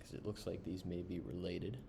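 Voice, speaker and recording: A man casually reviewing an online service while browsing its pages, unhurried, with frequent ums and uhs. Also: sits low, fairly even intonation, gravelly, noisy background. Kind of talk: casual screen-share review.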